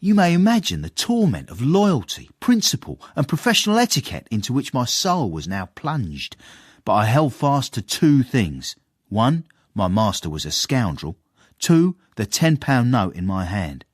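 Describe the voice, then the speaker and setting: A man playing a cheeky, sly young servant and self-satisfied storyteller in a radio drama, husky and wryly deferential. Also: medium pitch, huge pitch swings, normal speed, no echo, clean studio sound. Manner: wry, deferential with sly irony. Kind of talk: radio drama acting and narration.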